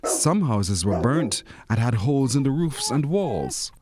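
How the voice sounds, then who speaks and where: A man's voice: mid-range voice, big pitch swings, unhurried, a crowd in the background. A man reading a children's storybook expressively, as a narrator who acts out the characters. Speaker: story reader.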